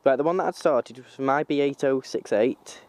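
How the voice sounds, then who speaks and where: A man's voice: mid-range voice, moderate pace, a little mellow, no room sound. A young man from North Wales with a slight Manchester accent, chatting casually in a vlog, rambling and correcting himself as he goes. He is recording outdoors on a handheld camera. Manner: rambling, self-correcting, informal. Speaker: vlogger.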